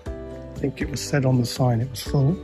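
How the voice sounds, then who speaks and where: A man with a relaxed, chatty manner, narrating a tour of a campsite as he walks, recorded outdoors on a handheld camera. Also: mid-range voice, moderate pace, over music. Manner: relaxed, chatty, guiding with frequent fillers. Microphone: handheld camera, filming while walking.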